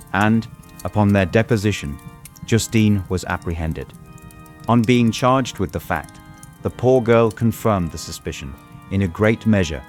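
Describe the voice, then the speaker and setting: An actor playing a tormented young gentleman who narrates a dramatized audiobook in an earnest, formal manner. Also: low voice, close to an everyday voice. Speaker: narrator and lead character.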